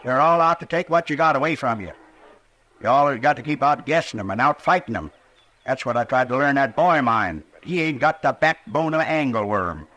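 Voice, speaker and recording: An actor playing a stubborn, grumbling old hog farmer with a rural Western American accent in an old-time radio western. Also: male voice, medium pitch, animated, brisk, sharp tone, highs cut off. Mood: combative. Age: older. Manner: folksy, grumbling, combative.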